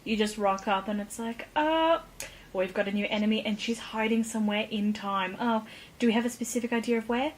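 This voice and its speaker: A woman's voice: a little high, a little fast, low-quality recording. A woman co-hosting a podcast, chatting casually and cracking wry jokes. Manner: wry, joking. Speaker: podcast co-host. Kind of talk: casual comedic chat.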